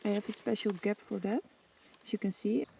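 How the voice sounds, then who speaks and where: A woman presenting a casual hands-on tutorial, giving simple step-by-step instructions with hesitant pauses. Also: mid-range voice. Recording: clearly noisy background with clicks.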